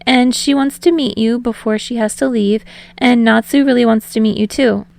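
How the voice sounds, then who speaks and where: Casting: female reader as excitable lovestruck young man for fan fiction audiobook, raised pitch, rambling and eager. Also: moderate pace, creaky, dry recording. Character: energetic, flustered, eager young man in love.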